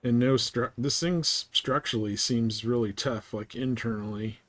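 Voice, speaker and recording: A man streaming a game live, chatting casually and thinking out loud in a rambling way. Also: mid-range voice, steady tone, normal speed. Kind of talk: casual gameplay chat.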